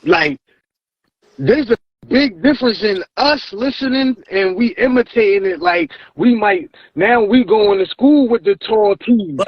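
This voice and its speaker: A man's voice: sits high, lively intonation, unhurried, dry recording, dull audio. A man in his thirties, hosting a casual podcast chat, repeating words as he builds his point. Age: 30s. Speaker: podcast host.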